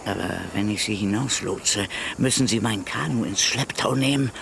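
A man playing a sly, resentful informer in a German radio drama, insinuating and bitter, with a creaky voice. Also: fairly low pitch, normal speed, a bit bright, a little hiss or hum. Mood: conspiratorial, wheedling. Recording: music behind the voice.

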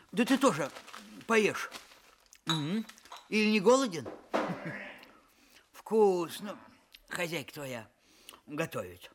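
An actor playing a lazy, cunning young farmhand in a fairy-tale audio play, drawling and playing innocent in a husky voice. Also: male voice, a little high, slight background noise, heavily acted. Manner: drawling, unhurried, chuckling.

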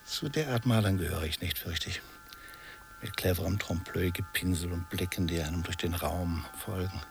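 Low-voiced, reserved painter, music behind. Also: male voice, moderate pace, vocal fry. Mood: calm, enigmatic. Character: male portrait painter, calm, reserved, quietly teasing. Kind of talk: German radio play acting.

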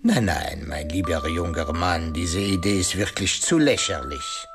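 An actor playing a shrewd, self-satisfied detective in a German radio crime drama, courteous and deliberate in his questioning. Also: sits low, animated, over music. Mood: confident, explanatory.

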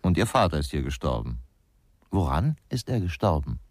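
A low-voiced man as a blunt ex-cop in a radio crime play. His speech is terse and needling, with big swings in pitch, in a very dry studio sound. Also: very animated, moderate pace, no room sound, full character voice. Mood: needling, insistent. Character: gruff, blunt male ex-policeman investigator, provoking and dismissive. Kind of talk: German radio crime drama acting.

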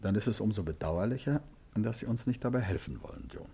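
An actor playing an urbane, tactful but insistent gentleman detective in a 1950s German radio drama, polite and measured as he probes. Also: fairly low pitch, animated, vocal fry, slight background noise.

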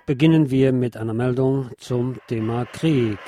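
A male radio presenter reading out press reports and commenting on them in a deliberate, emphatic way. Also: medium pitch, noisy background. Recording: music behind the voice. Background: background music.

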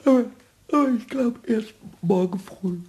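An actor playing a small, childlike male kobold in a German radio play, cheeky, stubborn and excitable with a squeaky voice. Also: normal speed, lightly acted. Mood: sleepy, sulky. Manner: excitable, self-repeating, sing-song when rhyming.

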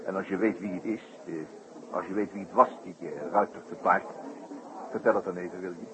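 Actor as genial detective on a noisy old recording. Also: male voice, sits low, fairly even intonation, fairly quick, close to an everyday voice. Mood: courteous, probing. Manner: jovial, courteous, hesitating with ehs and chuckles. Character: genial French detective on holiday, older friend. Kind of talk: 1960s Dutch radio play acting. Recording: noisy, muffled old radio broadcast recording.

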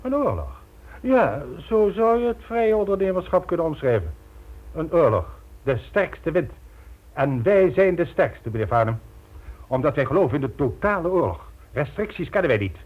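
A smug crime syndicate boss posing as a gentleman philanthropist. He is mockingly polite and pompous, with swooping, animated intonation. It is an old radio drama with a slightly dull, muffled sound. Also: a little high, normal speed. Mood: grandiose, self-assured. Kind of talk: radio play acting.

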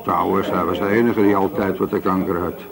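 A gossipy, bossy café owner in a radio drama. His voice is creaky and he grumbles self-righteously. The hubbub of a busy café surrounds him. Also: sits low, steady tone, brisk. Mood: defensive grumbling. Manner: grumbling, hesitant fillers, seeks agreement. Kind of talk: naturalistic radio drama dialogue. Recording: busy crowd noise around the voice. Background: café chatter.

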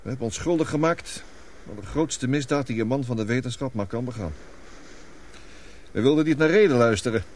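A grave, guilt-ridden scientist played by a male actor in a radio drama. He explains things deliberately in a medium-pitched voice. Light noise sits on the old recording. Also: animated, lightly acted. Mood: bitter regret.

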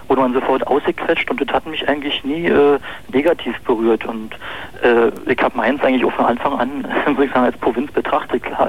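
Hesitant Berlin caller on a muffled line. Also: male voice, medium pitch, breathy edge. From East Berlin. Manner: hesitant, many fillers, laughs easily. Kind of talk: phone-in chat. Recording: muffled phone-in radio line.

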